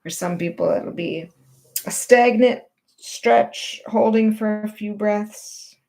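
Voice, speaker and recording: A woman teaching gentle movement and meditation in a reassuring, permissive, unhurried manner. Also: mid-range voice, vocal fry, low-quality recording.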